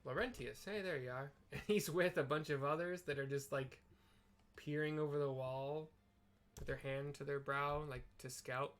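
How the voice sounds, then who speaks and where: A man's voice: a little high, normal speed, a bit bright. A man casually narrating a game playthrough, describing what is on screen with offhand, muttered asides. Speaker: gaming video creator.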